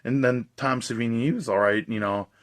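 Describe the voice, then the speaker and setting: A young man with a voice worn a little hoarse, talking in a loose, rambling way. He sounds enthusiastic and casual, reviewing a movie release for his regular channel. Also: medium pitch, brisk. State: slightly hoarse.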